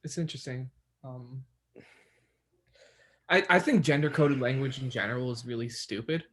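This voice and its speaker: A man's voice: mid-range voice, moderate pace, a bit bright, slightly rough. Young male podcast co-host, hesitant and filler-heavy, casual. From New York, USA. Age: young adult. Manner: hesitant, many fillers and restarts. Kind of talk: casual chat.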